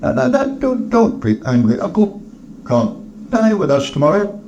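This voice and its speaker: A man plays a cheerful young nephew in a storybook, pitched well up high. He is earnest, eager to make peace and trips over his words. There is some noise in the recording. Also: big pitch swings, moderate pace, lo-fi sound. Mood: pleading. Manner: bright, pleading, stammering.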